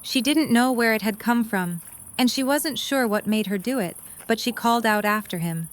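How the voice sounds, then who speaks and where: A woman's voice: a little high, normal speed. A woman reading scripted third-person story narration for an audio drama.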